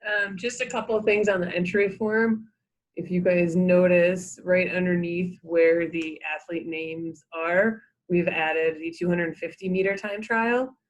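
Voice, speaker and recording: A woman's voice: fairly low pitch, fairly even intonation, unhurried, rough recording. A woman from program staff, adding brief clarifying reminders during a conference call.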